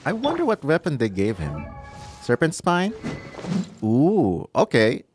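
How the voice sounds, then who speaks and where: A man whose native language is Filipino gives a relaxed, chatty commentary over his gameplay. He speaks slowly, and his pitch moves a lot. A faint ambient noise sits behind him. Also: faint music behind. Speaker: gaming channel host. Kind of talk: casual gaming commentary.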